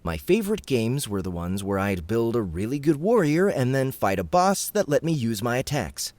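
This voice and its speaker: A man voicing an excitable, cheeky young gamer villain in a fantasy audiobook. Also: medium pitch, normal speed, no echo, voice pushed up, lightly acted. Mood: excited. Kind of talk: audiobook character acting.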